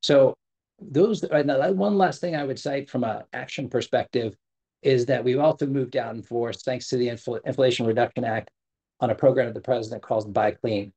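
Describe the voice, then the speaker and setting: A man's voice: medium pitch, dark tone, husky. A middle-aged man giving a prepared keynote over a video call, speaking earnestly with frequent fillers and self-corrections. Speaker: government sustainability official giving a keynote. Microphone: video call, webcam at desk.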